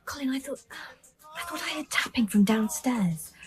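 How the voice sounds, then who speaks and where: Anxious, high-voiced young lover. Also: female voice, expressive, normal speed. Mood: anxious, hushed. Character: anxious young female student, the detective's secret lover. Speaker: actor in a radio crime drama. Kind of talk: naturalistic radio drama acting.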